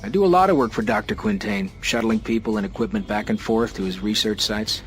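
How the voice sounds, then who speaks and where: A courteous male shuttle operator played by an actor in a space video game. He talks in a low, obliging voice, chatty and slightly apologetic, with faint music behind. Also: a little fast, close to an everyday voice. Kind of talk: video game cutscene acting.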